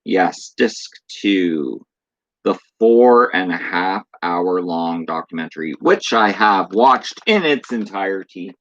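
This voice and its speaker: A man with a lowish, slightly hoarse voice chats casually with his viewers as he shows off items from his collection. His delivery is offhand and friendly, with the recording dry and a bit dull. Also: expressive, slow, no room sound. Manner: reads box blurbs aloud in a chatty, offhand way. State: losing his voice. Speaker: channel host. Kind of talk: casual unboxing chat. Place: room at home.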